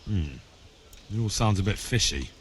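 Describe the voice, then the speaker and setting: An actor playing a plucky, blunt young blacksmith's lad in a video game. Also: male voice, on the low side, lively intonation, moderate pace, a little hiss or hum, natural voice. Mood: wary, blunt. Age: young adult. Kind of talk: video game dialogue acting.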